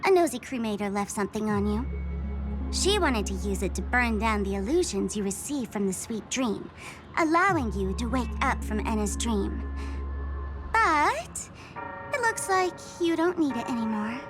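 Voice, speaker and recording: A lively, bright-pitched masked jester, mocking and menacing, game cutscene music behind. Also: female voice, moderate pace. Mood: playful. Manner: theatrical, teasing, laughs at her own jokes. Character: mocking masked female trickster, playful and menacing. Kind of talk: video game dub.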